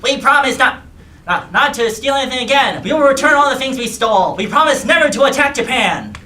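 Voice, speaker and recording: A man's voice: very high voice, lively intonation, brisk, sharp tone, distant mic, exaggerated voice. Man doing a whimpering, cowardly ogre, echoey. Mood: meek, pleading. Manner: whimpering, pleading. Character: cowering, whimpering defeated ogres, meek and pleading. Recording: echoey room, music behind.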